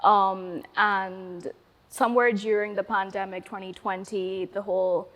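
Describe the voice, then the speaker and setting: A woman's voice: medium pitch, measured pace. Woman guest in a reverberant hall. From Sri Lanka. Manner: relaxed, chatty, many fillers. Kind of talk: casual interview.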